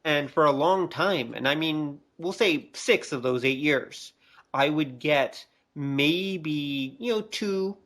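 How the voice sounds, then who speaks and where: A man's voice: a little high, slow pace, a bit bright. A middle-aged man talking casually as a co-host in a podcast discussion. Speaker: podcast co-host, college teacher.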